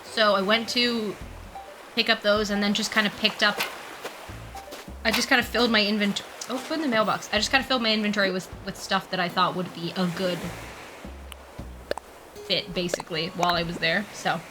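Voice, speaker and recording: A woman's voice: medium pitch, steady tone, a little fast, long pauses, poor audio. A young woman streaming from her room with a mild cold, chatting casually and scatterbrained while she plays and answers chat. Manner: rambling, scatterbrained, lots of ums and restarts; soft laughs, reads and answers chat. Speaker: livestreamer. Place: room at home with the window open. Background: game music.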